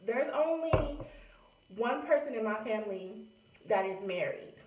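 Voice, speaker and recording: A young woman in her late twenties chats to camera in a relaxed, rambling way, leaning on "you know" and speaking. Her voice sits fairly high. The sound is echoey and thin, like a phone recording in a room at home. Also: measured pace, far from the mic, phone-quality sound. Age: late 20s. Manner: chatty, many fillers like "you know". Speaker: vlogger. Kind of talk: casual chat to camera.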